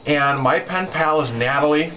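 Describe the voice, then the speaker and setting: A young man with a fairly high voice introduces himself casually in a small dorm room. The camera audio is dull, with faint noise. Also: moderate pace. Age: young adult. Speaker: college student. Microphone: camera mic a few feet away.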